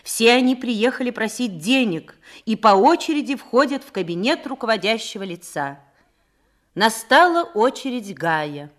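A woman's voice: on the high side, big pitch swings, moderate pace. A woman narrating a documentary in a solemn, formal scripted style.

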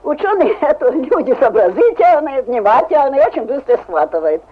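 A woman in her seventies from Hungary, with a Hungarian accent, telling lively stories in a halting, laughing way in a radio interview. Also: sits high, a little hiss or hum. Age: 70s. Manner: lively storytelling, halting speech, laughs often. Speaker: interviewee, amateur skater. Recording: tinny phone-like old radio recording.

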